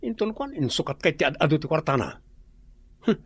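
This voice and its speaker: A man's voice: a little high, big pitch swings, a little fast, a bit bright, breathy edge, no room sound, exaggerated voice. An actor playing a boastful, greedy young man in an Ilocano radio drama, with an Ilocano accent and a smug delivery.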